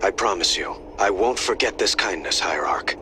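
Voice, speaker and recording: A man's voice: sits low, expressive, normal speed, heavy background noise. A man voicing a composed, courteous emperor directing his forces in a video game, formal and earnest. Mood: grateful.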